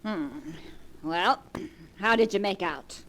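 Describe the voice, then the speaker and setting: An imperious old grandmother in a vintage 1940s radio play. She speaks at a middling pitch with dry, cutting scorn and aristocratic amusement. The recording has the sound of old broadcast drama. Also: slow pace, fairly bright tone, slight background noise, poor audio. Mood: sly, amused.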